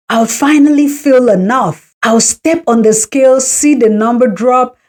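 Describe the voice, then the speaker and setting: A woman's voice: medium pitch, a little mellow. A woman in her forties from Cameroon, hosting a podcast and telling her personal story in a reflective, encouraging way. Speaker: podcast host.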